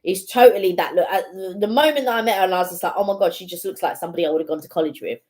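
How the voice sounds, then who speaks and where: A woman's voice: lowish voice, racing, darkish tone. Musing woman co-hosting a stream. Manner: musing and talkative, with fillers like "you know" and "like". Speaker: co-host of a live stream. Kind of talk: casual live-stream chat. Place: home room with a heater.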